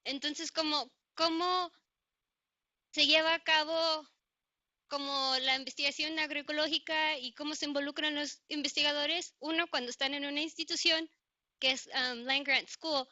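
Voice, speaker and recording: A woman's voice: sits high, fairly even intonation, normal speed, bright, forceful tone. A young woman asking a question from the floor, speaking in a chatty, loose way with many filler words. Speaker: audience member. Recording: dull lo-fi sound.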